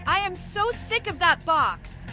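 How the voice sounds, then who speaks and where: Casting: unhinged vampire girl for a game, cryptic, childlike, gleefully erratic, very animated range. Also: extremely high pitch, unhurried, soft background music, heavily acted. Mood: playful, erratic. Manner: singsong riddling, giddy, erratic. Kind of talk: video game voice acting.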